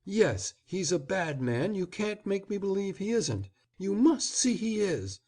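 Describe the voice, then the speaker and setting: Guy doing a sulky stubborn kid, pitched up, soft and mellow. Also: big pitch swings, unhurried, exaggerated voice. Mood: defiant. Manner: cold and insistent, low intensity. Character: defiant resentful young boy.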